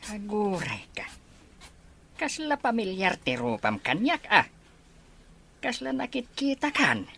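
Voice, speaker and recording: Woman doing husky old dad in an audio drama, nosy n protective, voice goes up and down a lot. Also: medium pitch, huge pitch swings, takes it slowly, fairly bright tone, heavily acted. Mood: inquisitive, amused. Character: elderly protective Filipino father, teasing and inquisitive. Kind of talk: Ilocano audio drama acting.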